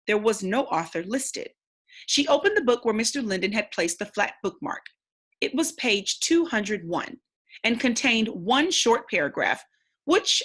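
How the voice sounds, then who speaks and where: A woman reading a storybook aloud like a teacher, pausing to think aloud and explain. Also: mid-range voice, fairly bright tone, breathy edge.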